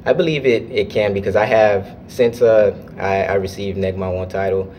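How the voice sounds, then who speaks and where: A man's voice: on the low side, fairly even intonation, darkish tone, a little hiss or hum. A young man from Florida, a student club officer, answering interview questions earnestly with frequent restarts. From United States (Florida). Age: young adult. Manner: earnest, halting with restarts and fillers.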